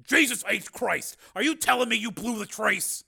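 A man's voice: high-pitched, moderate pace, creaky, pushed and bright, breathy edge, pitched above the performer's own voice, full character voice. A man voicing a blustering, impatient lawman in an audiobook, blunt and exasperated. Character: blustering, impatient male marshal, cocky lawman.

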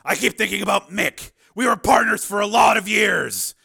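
A man voicing a tough, tormented cyborg man full of bitterness and self-doubt, in a forceful audiobook performance. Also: high-pitched, expressive, normal speed, vocal fry, slightly rough, voice pushed up, exaggerated voice. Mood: troubled, brooding. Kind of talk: dramatized audiobook dialogue.

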